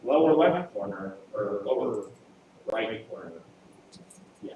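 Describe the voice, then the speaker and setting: A man's voice: lowish voice, expressive, slow, darkish tone, recorded from a distance. A young man chiming in casually during a group discussion. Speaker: workshop participant. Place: indoor room, away from the mic. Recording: very echoey room, off-mic, faint noise.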